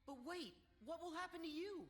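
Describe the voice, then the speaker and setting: A man's voice: extremely high pitch, moderate pace. A man voicing an earnest, impulsive, loyal teenage boy hero in a game. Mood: concerned. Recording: loud music behind the voice.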